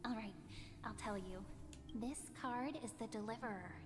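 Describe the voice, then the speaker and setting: A woman's voice: fairly high pitch, moderate pace, in a large hall, natural voice. An actress voicing a playful young girl who tells fortunes in a game, light, casual and teasing. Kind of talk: scripted game voice acting.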